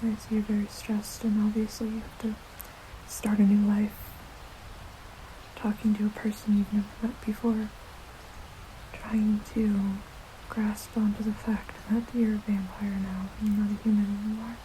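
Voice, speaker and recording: A woman plays a nurturing vampire mother in a whispered ASMR roleplay. The voice is mellow and breathy, with little rise or fall, and the words come slowly and reassuringly. A faint noise sits behind it. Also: mid-range voice, monotone, lightly acted, low-quality recording. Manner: slow, soothing, halting, reassuring. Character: gentle, nurturing vampire mother, centuries old.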